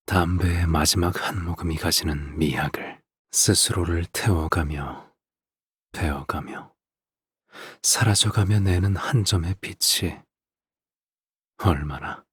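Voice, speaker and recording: A cold yet tender middle-aged villain father from a game. His very breathy, husky voice sits low, and he talks softly and with measured condescension. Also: dry recording, studio quality, full character voice. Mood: coldly tender. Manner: measured, soft-spoken, condescending, trailing into breathy near-whispers. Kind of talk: theatrical scripted game voice acting.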